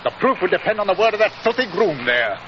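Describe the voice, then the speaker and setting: An actor playing a haughty, defiant, scornful millionaire villain in 1940s radio drama style. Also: male voice, high voice, big pitch swings, fast. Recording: muffled, noisy 1940s radio recording.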